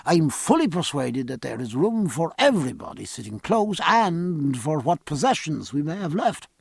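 A man voicing a learned ship's surgeon, drily earnest, with a measured, formal and pedantic manner. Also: on the high side, big pitch swings, creaky, breathy edge, no room sound, studio quality, exaggerated voice.

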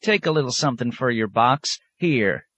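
A man's voice: mid-range voice, expressive, moderate pace, a bit bright, dry recording, voice pushed up. A man voicing an old, toothless tomato woman who drawls in rustic, old-fashioned speech. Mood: wheedling.

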